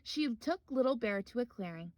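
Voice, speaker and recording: Female children's story reader: high voice, animated intonation, simple encouraging delivery aimed at little listeners. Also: normal speed, reverberant, far from the mic. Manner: simple questions and encouragement addressed to small children. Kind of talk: expressive read-aloud for young children.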